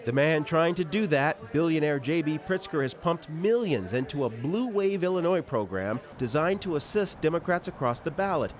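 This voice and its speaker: A man's voice: fairly high pitch, animated, normal speed, few pauses. A man working as a political television reporter, delivering scripted news narration.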